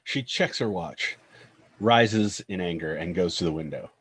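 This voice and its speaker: Guy narrating script directions, really low raspy husky voice. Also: measured pace, fairly bright tone. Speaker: narrator reading stage directions.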